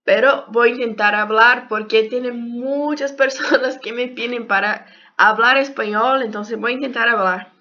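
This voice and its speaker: A woman's voice: a little high, fairly even intonation, normal speed, pushed and bright. A young woman with a Brazilian Portuguese accent, a native Portuguese speaker giving a casual video lesson in a second language, hesitant and searching for words. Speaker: health sciences YouTuber. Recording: dull lo-fi sound.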